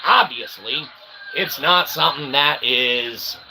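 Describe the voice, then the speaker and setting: A man's voice: medium pitch, slow pace, background noise. An American man hosting his own channel, delivering a rambling, sarcastic comedic monologue full of fillers and asides. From United States. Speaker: channel host.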